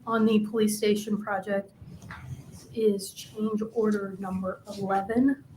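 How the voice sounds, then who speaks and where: A woman's voice: mid-range voice, a little mellow, poor audio. A woman formally presenting agenda items as a staff member at a council meeting. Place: indoor council meeting room. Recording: faint background noise.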